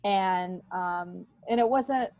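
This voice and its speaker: A woman's voice: lowish voice, slow pace, darkish tone, dry recording, muffled recording. A middle-aged woman talking candidly and casually as an interview guest, stressing points by repeating herself. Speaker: podcast guest, stand-up comedian. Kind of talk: casual conversational interview, personal anecdotes.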